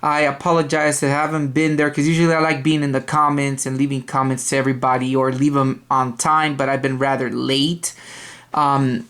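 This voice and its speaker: A young man with a loud voice, talking casually to his viewers. He rambles with lots of ums and restarts, at a mid pitch for a man. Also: flat delivery, normal speed. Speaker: video game collector vlogger. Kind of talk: casual rambling vlog talk. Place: apartment dining room at night.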